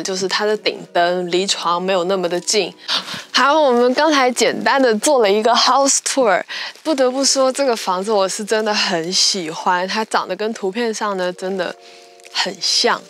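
A woman's voice: mid-range voice, fairly bright tone. A young woman giving a chatty, upbeat tour of a rental house and its backyard cabins, slipping English words into her talk. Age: young adult. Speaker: vlogger. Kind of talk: casual house-tour vlog. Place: rooms of a house and a wooded backyard.

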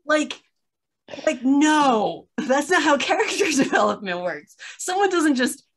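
A woman's voice: high voice, expressive, unhurried, a bit bright. A woman in her thirties chatting casually on a video call, recounting stories with wry exasperation and acted-out asides. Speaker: podcast reviewer in a video chat. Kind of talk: casual chat, opinionated recounting. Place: small room at home, on a video call.

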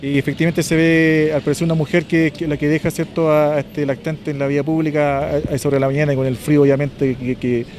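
A middle-aged man with a Chilean accent briefs the press as a police officer outdoors. He talks fast in a slightly high voice, stumbling over and repeating words. Faint street noise sits behind him. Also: flat delivery, darkish tone. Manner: halting, repeats words while explaining. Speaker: police lieutenant colonel. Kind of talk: press statement.

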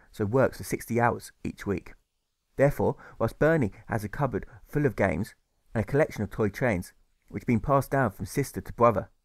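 A man reads a scripted rhyming story in a mid-pitched voice. His storytelling is measured and leans on the rhymes, recorded dry and close. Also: no echo. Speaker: author narrating his audiobook.

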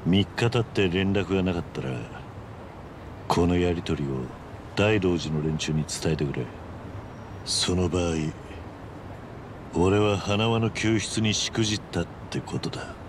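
An actor playing a stoic middle-aged fighter in a video game, speaking in a terse, gruff, restrained way. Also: male voice, deep voice, unhurried, pauses often, noisy background. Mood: grave, resolute. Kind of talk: video game drama acting.

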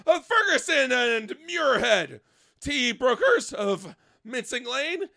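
Man acting manic gentleman detective, drug-craving, bombastic, bright forceful edge, gravelly creak, comic storybook reading. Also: very high voice, no room sound, studio quality, heavily acted. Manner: theatrical, bombastic, swings into shouting.

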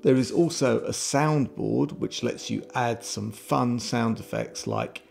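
Middle aged guy doing a youtube tech review, super gravelly voice, bit of music behind. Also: medium pitch, slow pace, a little mellow. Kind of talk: scripted casual product review. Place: home room with shelves, indoors. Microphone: desk microphone close in front. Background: background music.

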